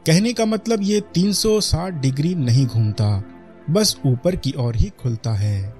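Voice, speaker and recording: A man's voice: fairly high pitch, lively intonation, a little slow, a little mellow, soft background music. A man with an Indian accent giving a product demonstration voice-over as a reviewer.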